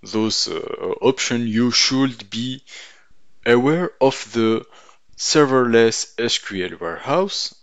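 A man giving a tutorial voice-over over slides, halting now and then with ums and uhs. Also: medium pitch, lively intonation, no echo. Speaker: instructor. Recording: dull lo-fi dry voice-over.

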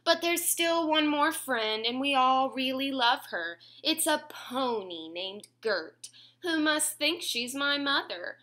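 A young woman reads a children's picture book aloud in a small room at home. Her voice is high and bright, with lively swings of pitch. She phrases each line clearly and expressively for little listeners, taking her time. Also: takes it slowly. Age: 20s. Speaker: children's story reader.

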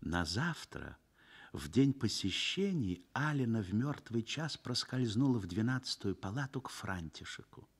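A man narrating a Soviet radio play in a literary, dramatic style, with measured phrasing and expressive pauses. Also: mid-range voice, faint music behind.

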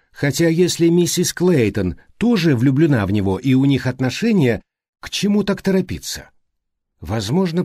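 A man voicing an animated, insistent older detective in an audiobook, reasoning aloud with emphatic questions. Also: on the high side, no echo, clean studio sound. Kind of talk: audiobook character dialogue.

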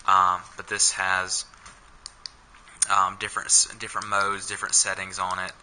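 A man's voice: low-pitched, completely flat delivery, normal speed, sharp tone, slight background noise. A young man filming himself indoors with a head-mounted camera, casually walking through his camping gear with many ums and uhs. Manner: hesitant, many fillers. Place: small indoor room.

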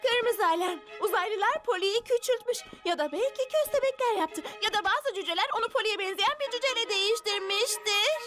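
A woman's voice: extremely high pitch, expressive, brisk, hardly any pauses, a bit bright, soft background music. A woman voicing a dramatic, fanciful, chatty young girl in a dubbed children's cartoon, in a theatrical way.

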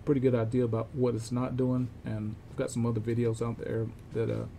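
Older male, gravelly, relaxed delivery; halting, methodical how-to explainer, informal, with some background room noise. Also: medium pitch. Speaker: repair technician demonstrating equipment checks. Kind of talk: informal instructional demonstration. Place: cluttered indoor electronics workshop.

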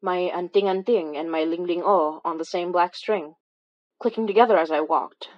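A woman's voice: lowish voice, normal speed, dull audio, lightly acted. An actress playing a wry, weary young woman who recounts eerie events in a conversational, hesitant way, as in a horror fiction podcast. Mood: wry storytelling. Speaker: audio drama lead narrator. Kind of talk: confessional first-person horror podcast narration.

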